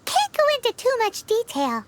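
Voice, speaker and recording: A woman's voice: very high voice, wildly swooping pitch, moderate pace, background noise, full character voice. A woman voicing a tiny, chatty fairy-like girl companion who is bossy and cheeky in a game. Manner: bossy, chirpy, speaks of herself by name. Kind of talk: game dialogue.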